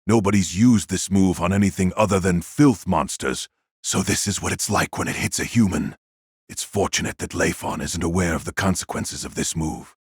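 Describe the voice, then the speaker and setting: A man playing a cool, mocking warrior in an audio drama, speaking in a measured, condescending way. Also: sits low, breathy edge, no echo, studio quality. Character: cool, mocking warrior taunting a fallen foe.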